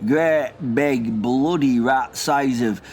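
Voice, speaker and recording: A man's voice: medium pitch, takes it slowly, darkish tone, a little hiss or hum, natural voice. A man playing a grumpy, rambling old war veteran who curses constantly. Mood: cantankerous. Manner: rambling, cursing, confused. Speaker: comic character.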